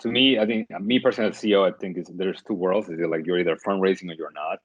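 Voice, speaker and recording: Startup founder, thirties, very deep voice, halting and thoughtful, dead-dry lo-fi sound. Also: male voice, fairly even intonation, dry recording. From Dominican Republic. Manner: thoughtful, many fillers and restarts. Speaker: startup CEO, guest. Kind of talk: interview answers.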